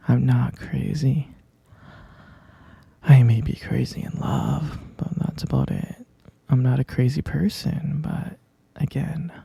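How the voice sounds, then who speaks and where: A man voicing an obsessive, sweetly possessive kidnapper in an ASMR roleplay, talking in a soft, clingy, soothing whisper that keeps insisting. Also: medium pitch, gravelly, breathy edge, no echo, heavily acted.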